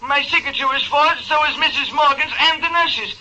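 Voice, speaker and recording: A man's voice: very high voice, moderate pace, sharp tone, voice pushed up, full character voice, low-quality recording. A man voicing a frantic elderly butler over a telephone in a 1940s radio drama.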